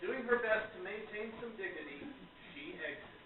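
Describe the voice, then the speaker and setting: A man's voice: high voice, lively intonation, normal speed. A man reading stage directions as scripted narration for a radio play. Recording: very echoey, distant, muffled recording.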